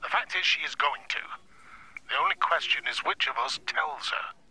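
Sharp, stern father-in-law on telephone. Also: a little high, lively intonation, normal speed, husky, heavily acted. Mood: stern, reproachful. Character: stern, moralising older father-in-law on the phone. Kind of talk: radio drama acting. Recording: phone call, faint noise.

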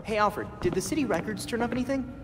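A young man playing an earnest, sharp vigilante hero in a video game, inquisitive, wry and challenging in manner. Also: high voice, animated, fast talker, music in the background. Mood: wary, questioning. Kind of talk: video game cutscene acting.

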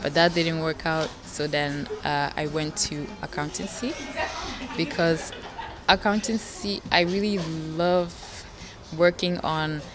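A woman's voice: deep voice, steady tone, takes it slowly. A young woman from Belgium, a pageant contestant, answering interview questions in a hesitant way with many fillers. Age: young adult. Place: indoor lounge on a sofa. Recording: music playing behind the voice. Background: background music.

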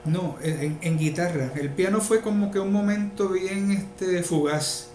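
Higher-voiced Puerto Rican man, echoey room. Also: unhurried, darkish tone. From Puerto Rico and the mainland United States. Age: middle-aged. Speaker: interview guest, luthier and musician. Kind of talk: casual interview talk. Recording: echoey room, faint background noise.

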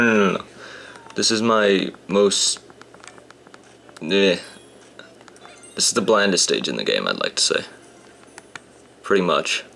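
A young man commentating a handheld game, casual and grumbling, his voice a bit rough today. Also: low-pitched, a bit bright, slight background noise. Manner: grumbling, sarcastic about the game. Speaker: gameplay commentator. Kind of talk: casual let's-play commentary. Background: game music.

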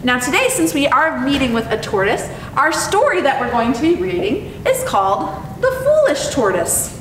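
Animated high-voiced young woman, echoey room. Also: moderate pace. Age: young adult. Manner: animated, expressive delivery. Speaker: zoo educator and storytime host. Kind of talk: educational presentation and picture-book reading for children. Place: indoor room with painted animal mural. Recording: echoey, distant mic with clear rumbling noise.

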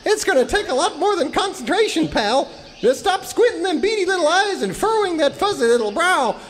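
Naive cowboy deputy, animated and high, folksy exclamations, radio comedy, reverberant hall. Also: male voice, fairly quick, exaggerated voice. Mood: panicked. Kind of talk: old-time radio serial parody acting.

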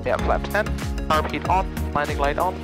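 A man's voice: on the high side, steady tone, normal speed. A young man flying a small plane, mixing radio calls with casual chat over an aviation headset. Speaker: private pilot. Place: small aircraft cockpit in flight. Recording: cockpit headset audio with music behind.

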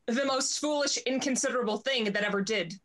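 A woman's voice: fairly high pitch, a little fast, a bit bright, lightly acted. A woman playing a bitter, proud society lady in a classical stage comedy, scornful and theatrical. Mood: irritated, curt. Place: indoors at home, on a video call.

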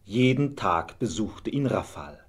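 A man with a husky voice narrating a scripted fairy tale as a storyteller. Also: lowish voice, expressive, unhurried.

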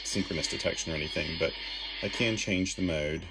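A man's voice: low voice, a little fast, heavy background noise. A man giving a casual hands-on demo of radio gear as a hobbyist. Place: indoors at a desk. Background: shortwave radio music and sound.

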